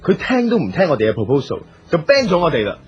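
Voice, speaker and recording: A man with a Hong Kong Cantonese accent playing a calm, modest second brother from a business family in a radio drama. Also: on the high side, fast, breathy edge, highs cut off, heavily acted. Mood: discontented.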